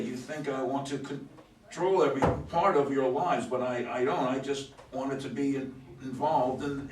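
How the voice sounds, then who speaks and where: A man playing a delirious astronaut in an amateur play reading, halting and repeating himself in a self-pitying, nagging grievance. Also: fairly high pitch, natural voice. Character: rambling, aggrieved astronaut low on oxygen, nagging like a relative. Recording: echoey room, distant mic, faint noise.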